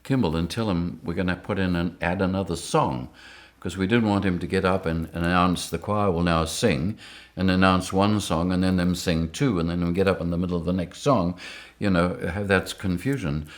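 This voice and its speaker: Deep-voiced older man. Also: moderate pace. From Rhodesia. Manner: lively anecdotes, chuckling often. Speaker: interviewee. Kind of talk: storytelling interview.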